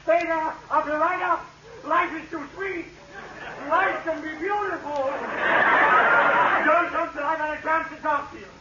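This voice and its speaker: A man's voice: extremely high pitch, fairly even intonation, a little slow. An actor playing a melodramatic, pleading neighbour, a fretful family man in a vintage radio sitcom. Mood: frantic. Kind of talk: 1940s-50s radio sitcom acting. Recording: muffled, noisy old radio recording.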